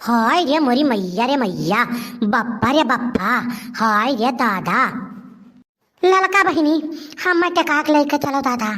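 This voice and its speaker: Woman playing a high-pitched wailing village sister. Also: animated, slow, fairly bright tone, exaggerated voice. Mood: plaintive. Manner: plaintive, exclamatory. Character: hefty village elder sister, wailing, feigning helpless confusion. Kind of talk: village comedy cartoon dialogue.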